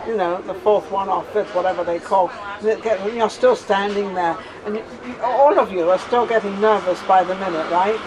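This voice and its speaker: A woman's voice: mid-range voice, moderate pace, few pauses, a crowd in the background, rough recording. An older woman with an English accent hosting a studio interview, chatty and rambling with frequent laughter. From England. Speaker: TV host. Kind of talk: casual TV interview. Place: studio set with sofa and flowers.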